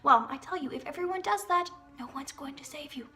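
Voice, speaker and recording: Bright, high-pitched young woman storyteller. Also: fairly quick, soft background music. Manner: theatrical, emphatic delivery. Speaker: vlogger, storyteller. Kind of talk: dramatic comedic storytelling to camera.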